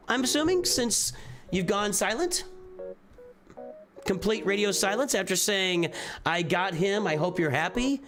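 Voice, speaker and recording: A man hosting a casual live stream, reviewing game rosters in a rambling, offhand way, often correcting himself mid-sentence. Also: sits high.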